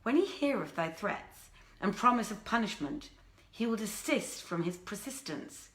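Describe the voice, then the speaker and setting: A woman voicing a deferential old nurse in a storybook reading, flattering and pleading in archaic speech. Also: medium pitch, normal speed, echoey room, natural voice, low-quality recording. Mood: coaxing, deferential.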